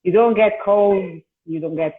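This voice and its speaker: A woman's voice: mid-range voice, unhurried. A woman, hosting a casual video-call chat and often restarting her sentences as she talks. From Italy. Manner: chatty, with frequent restarts. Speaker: host and singer. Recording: tinny phone-like call audio.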